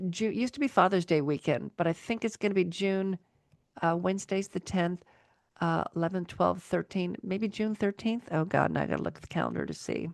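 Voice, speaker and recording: A woman's voice: lowish voice, creaky, dry recording, clean studio sound. A woman hosting a podcast in casual chat, rambling and interrupting herself, with a bit of a cold. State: has a cold. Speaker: podcast host.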